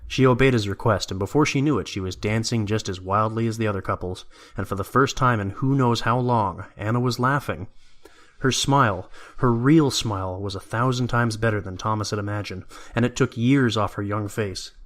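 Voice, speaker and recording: A man's voice: fairly low pitch, moderate pace, fairly bright tone. A man reading scripted story narration as the narrator of an audio drama.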